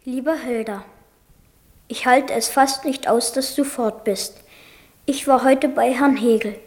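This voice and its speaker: A lovelorn woman in a radio drama, with a high voice. She confides as if reading out her own intimate letter. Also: fairly even intonation, measured pace, darkish tone. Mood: yearning. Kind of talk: literary radio play acting.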